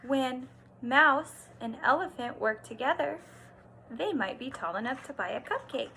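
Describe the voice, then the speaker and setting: A woman teacher doing an expressive read-aloud for young children, stressing words and pausing to explain and ask simple questions. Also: high-pitched, gravelly, a little hiss or hum, poor audio. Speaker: kindergarten teacher.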